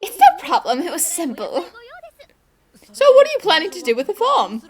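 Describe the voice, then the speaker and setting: A woman's voice: very high voice, huge pitch swings, moderate pace, full character voice. A woman acting a confident, spirited young female detective in a cartoon fan dub, explaining things politely with self-assurance. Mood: breezy.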